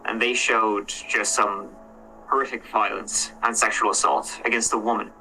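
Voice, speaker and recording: A man's voice: fairly low pitch, little pitch movement, normal speed, pushed and bright, soft background music, low-quality recording. A man casually retelling a true crime case in a conversational way, with hedges and fillers. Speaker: true crime reaction host.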